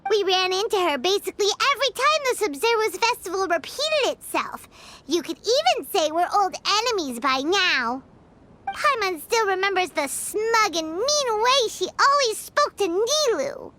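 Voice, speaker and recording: A woman voicing a small, chatty, cheeky fairy companion in an anime video game, with a squeaky childlike voice. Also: normal speed, a little hiss or hum, full character voice. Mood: eager, opinionated. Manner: speaks of herself in third person, animated. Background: background music.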